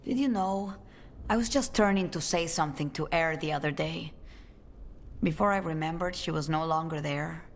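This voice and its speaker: An actress playing a poised, sociable woman of an assassin guild in a video game, speaking in an elegant, measured way. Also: deep voice. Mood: wistful, resolute. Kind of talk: video game cutscene dialogue.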